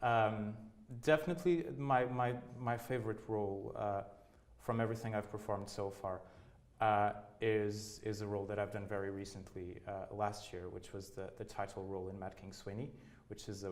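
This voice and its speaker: Portuguese man, thirties, lowish voice, halting and repetitive in interview. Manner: hesitant, many restarts and repeated words. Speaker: opera singer, interviewee. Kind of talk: casual interview answers.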